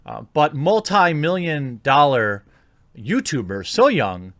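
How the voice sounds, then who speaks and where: A man's voice: fairly high pitch, sing-song, slow pace, fairly bright tone, no echo, studio quality. A man giving opinionated video commentary in an indignant, informal, sarcastic manner. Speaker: YouTube commentator.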